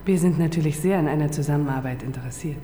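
An actress in a radio crime drama playing the smooth, suggestive woman who manages a dating site. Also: sits low, quick pace, dark tone, slight background noise, close to an everyday voice.

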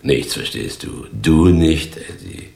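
A man's voice: a bass voice, slow pace, gravelly, darkish tone, roomy sound, heavily acted. A man acting a weary, bossy vagrant and ex-actor in a German radio drama, with a dry, terse, condescending way of talking. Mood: weary, dismissive.

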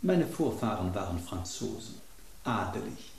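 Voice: low-pitched, sing-song, a little slow, creaky, darkish tone, full character voice. An actor voicing an aristocratic dessert spoon in a radio drama, dramatic and pompous in manner. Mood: lofty. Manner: theatrical, pompous, quoting French poetry. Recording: roomy sound with faint noise.

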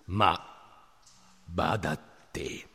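An actor playing a gruff, threatening bandit in a theatrical radio play, with a gravelly, husky voice in long reverb. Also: male voice, sits low, extremely slow, bright, forceful tone, exaggerated voice. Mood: gruff, weighing up. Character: menacing gravelly male bandit and murderer.

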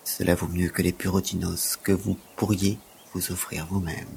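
A prim, aloof gymnastics tutor in an audio drama. His fairly low voice is formal, dry and exact, with faint insect ambience behind it. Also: little pitch movement, normal speed, creaky, darkish tone, slight background noise. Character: prim, aloof, penniless male gymnastics teacher, sickly but dignified. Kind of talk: French audio drama acting.

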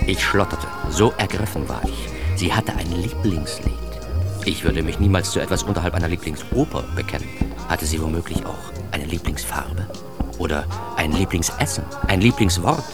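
Low-voiced, wry young bookworm , played by a man. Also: normal speed, over loud music, natural voice. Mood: wry, amazed. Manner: wry, self-mocking, precise. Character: naive, bookish young man recounting his life with comic earnestness. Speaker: first-person narrator. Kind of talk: radio drama narration.

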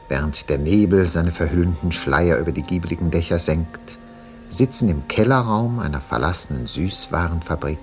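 Deep, solemn crime-story narrator. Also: male voice. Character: solemn crime-story narrator, timidly pedantic when interrupting. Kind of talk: atmospheric scripted radio narration.

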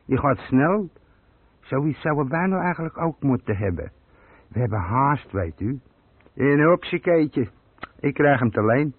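An actor playing a talkative, self-satisfied older burglar in a radio play, colloquial and boastful. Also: male voice, mid-range voice, animated, a little slow, pauses often, breathy edge, no room sound. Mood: smug, scolding. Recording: dry, muffled telephone-like old radio recording.

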